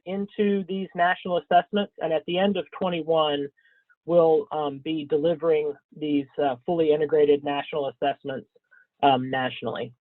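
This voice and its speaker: A man presenting slides in a webinar, scratchy from a cold, speaking haltingly with frequent ums and throat clearing. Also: on the high side, no room sound. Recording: thin phone-like webinar audio, dry.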